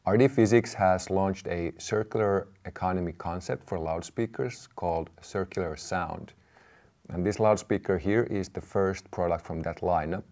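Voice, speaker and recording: A man in his thirties giving a measured, explanatory product presentation to camera. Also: low voice, darkish tone. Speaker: presenter, company representative. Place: indoor room with brick wall, seated at a table.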